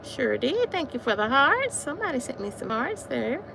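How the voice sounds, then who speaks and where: A woman in her forties chatting casually on a livestream while out walking, repeating herself warmly and calling her viewers y'all. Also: high-pitched, very animated, normal speed, vocal fry. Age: 40s. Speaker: livestream host. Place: outdoors on a walk, wind noise. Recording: faint wind noise.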